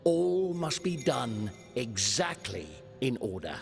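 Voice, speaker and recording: An actor playing a solemn, mysterious keeper of ancient rituals in a game, grave and ominous, with a creaky voice. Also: male voice, medium pitch, big pitch swings, slow pace, full character voice. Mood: solemn, secretive. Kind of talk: dramatic video game acting. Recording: music behind the voice. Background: music.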